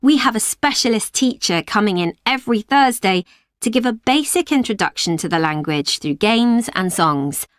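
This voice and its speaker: An actress playing a woman who runs an after-school club, explaining its activities to parents in a clear, measured way. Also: fairly high pitch, lively intonation, no room sound, studio quality, natural voice. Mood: informative. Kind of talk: scripted exam recording.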